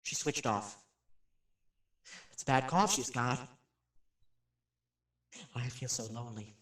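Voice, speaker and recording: A man's voice: medium pitch, animated, takes it slowly, a bit bright. An actor playing a nervous, chatty radio personality who rambles and stammers his way through a haunted house in a radio drama. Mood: lonely, melancholy. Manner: jittery, stammering, rambling, self-interrupting. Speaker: radio host. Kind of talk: radio drama acting, rambling live monologue. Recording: roomy, distant microphone.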